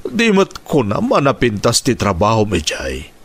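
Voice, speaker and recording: A man with an Ilocano accent playing a concerned older father in a radio drama, questioning and animated. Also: fairly low pitch, normal speed, slightly rough, heavily acted.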